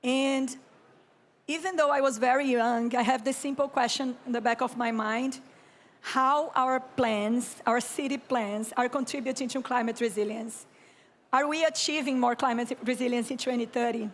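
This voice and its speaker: A Brazilian woman, an architect and urban planner in her thirties, delivers a keynote on a conference stage. Her English carries a Brazilian Portuguese accent and her voice sits high. She speaks in a slow, calm, reflective way and often clears her throat. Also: a little hiss or hum.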